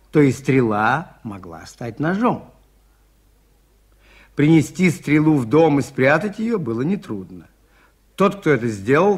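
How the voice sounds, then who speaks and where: An actor in a 1980s Soviet radio drama playing a shrewd, mild priest detective who explains things in a measured, polite way. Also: male voice, a little high, breathy edge, full character voice. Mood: calm explaining.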